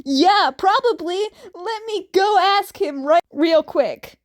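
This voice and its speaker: A woman voicing an excitable, playful young man, an eager and loud friend in a fan fiction story. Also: very high voice, slow, voice pushed up, full character voice.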